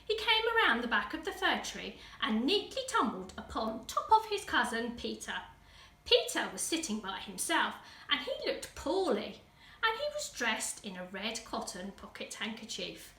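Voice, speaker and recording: A woman's voice: on the high side, wildly swooping pitch, normal speed, sharp tone. A woman with an English accent reading a children's story expressively, with dramatic emphasis for young listeners. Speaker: school teaching assistant reading a story. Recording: roomy echo, distant microphone.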